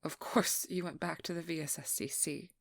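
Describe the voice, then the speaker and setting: Woman acting as determined female vampire hunter, ASMR roleplay, slightly low pitch, very dry close sound, soft, exasperated yet caring. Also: steady tone, moderate pace, no room sound. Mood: stern. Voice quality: soft, breathy, often whispered. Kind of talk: ASMR audio roleplay, intimate dramatic acting.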